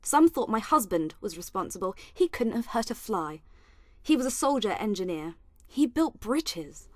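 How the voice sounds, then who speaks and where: A woman's voice: mid-range voice, sing-song, normal speed, dry recording, clean studio sound, natural voice. A woman playing a general's devoted murdered wife in a radio play, tender, mournful and dramatic.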